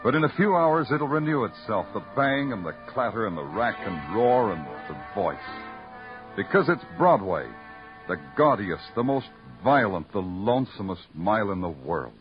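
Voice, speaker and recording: A man's voice: lowish voice, sing-song, moderate pace. An actor playing a terse, hard-boiled male police detective who also narrates, in a vintage radio drama. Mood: brooding. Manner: terse, clipped, brooding narration. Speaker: narrator and lead. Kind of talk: 1950s radio acting. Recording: dull vintage radio broadcast with music score.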